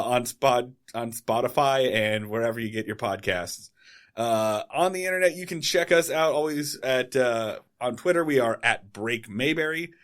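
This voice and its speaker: A man's voice: mid-range voice, a bit bright. A man co-hosting a podcast, reading out plugs in a loose, rambling way and correcting himself as he goes. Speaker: podcast co-host. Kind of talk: casual podcast outro.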